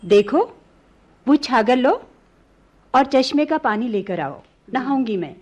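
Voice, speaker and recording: An actress playing a refined young princess in a radio play, tender and devoted, with a languid courtly manner. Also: female voice, on the high side, expressive, takes it slowly, a little mellow. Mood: languid, commanding. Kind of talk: Hindi-Urdu radio play acting.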